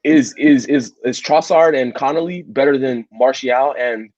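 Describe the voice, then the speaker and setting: A man's voice: medium pitch, measured pace, darkish tone, no echo. A man co-hosting a casual football podcast debate, blunt and emphatic, often repeating words as he drives his point home. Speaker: podcast co-host. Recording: dull, muffled audio.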